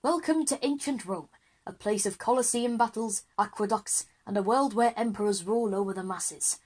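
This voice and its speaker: A woman's voice: medium pitch, normal speed, darkish tone, close to an everyday voice. A woman playing an enthusiastic, easily flustered male history teacher in a children's audio drama, with an eager, wordy lecturing manner.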